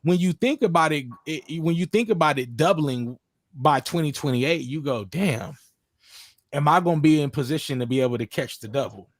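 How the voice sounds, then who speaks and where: A man's voice: fairly high pitch, moderate pace, dry recording. A man in his thirties hosting a livestream chat, talking in a profane, slangy way and steering the talk with questions. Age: 30s. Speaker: livestream host. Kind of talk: casual chat. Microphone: distorted mic at times.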